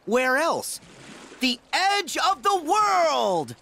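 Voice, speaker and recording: A woman voicing a cheerful, eager teenage boy adventurer in a video game, excitable and playful. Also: high voice, extremely slow, full character voice. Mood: excited. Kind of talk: video game cutscene acting.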